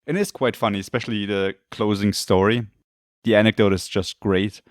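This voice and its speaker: A slightly hoarse man co-hosting a podcast, talking in a rambling, hesitant way and often correcting himself. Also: lowish voice, fairly even intonation, moderate pace, dry recording, clean studio sound. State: losing his voice, throat clearing. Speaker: podcast co-host. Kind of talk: casual chat.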